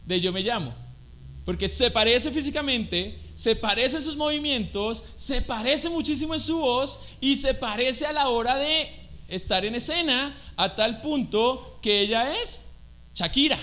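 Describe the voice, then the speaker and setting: High-voiced Colombian man preaching. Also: unhurried. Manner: conversational, animated, asks the congregation questions. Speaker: guest preacher. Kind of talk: sermon. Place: church.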